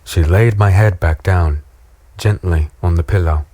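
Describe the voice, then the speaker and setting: A man playing a battered, weary human in a dark fantasy audio drama, wry and fatalistic as he tells his story. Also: deep voice, measured pace, dry recording. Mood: hushed recounting.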